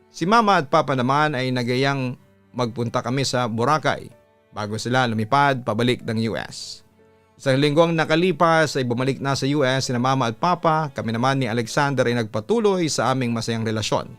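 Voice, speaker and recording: A man with a Filipino accent reads a listener's letter as a scripted story, in the role of a radio announcer and host. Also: mid-range voice. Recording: music behind the voice. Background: background music.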